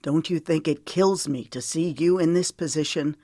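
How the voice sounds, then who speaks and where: A woman's voice: deep voice, fairly even intonation, moderate pace, mellow, no room sound, studio quality. A woman narrator voicing a protective, weary man in an audiobook, tender but stern, with an earnest, lecturing manner. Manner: earnest, lecturing, folksy storytelling.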